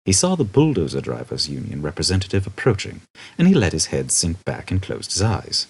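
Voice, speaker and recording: A man with a very deep, husky voice narrates a comic story. His delivery is scripted and dryly humorous, and his pitch moves in lively swings. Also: a little fast. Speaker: audiobook narrator.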